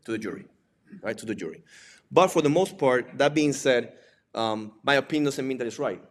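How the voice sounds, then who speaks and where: A man's voice: mid-range voice, animated, a little fast. A man with a Puerto Rican accent, a native Spanish speaker, giving an informal lecture and often checking in with rhetorical questions. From Puerto Rico. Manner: conversational, asks rhetorical questions and tags points with "right?". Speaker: presenter, digital forensics examiner and instructor.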